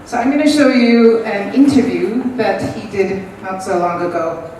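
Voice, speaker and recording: A woman giving a public talk, thoughtful and often pausing with ums. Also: medium pitch, darkish tone. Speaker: guest speaker. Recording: echoey room from far off, noisy.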